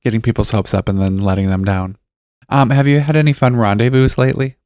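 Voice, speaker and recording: A man's voice: medium pitch, fairly even intonation, quick pace, a little mellow, clean tone, no room sound, studio quality. A middle-aged man co-hosting a podcast in casual chat, playful and self-mocking, laughing often. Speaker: podcast co-host.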